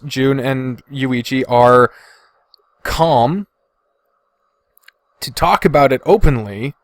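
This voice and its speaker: A man's voice: medium pitch, no room sound. A man hosting a podcast, giving blunt, casual opinions with plenty of swearing and exasperated emphasis. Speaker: podcast host.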